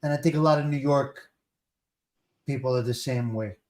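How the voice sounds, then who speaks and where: Casual livestream host: male, New Jersey accent, plain-spoken, mid-pitched, emphatic repetition. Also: normal speed, a little mellow. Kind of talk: casual chat.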